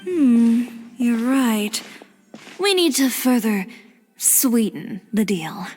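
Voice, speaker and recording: Composed, slightly high-voiced boutique owner. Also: female voice, lively intonation, very slow, darkish tone. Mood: thoughtful, assured. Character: composed, decisive adult woman, stylish boutique owner. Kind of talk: scripted video game dialogue. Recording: faint music behind the voice.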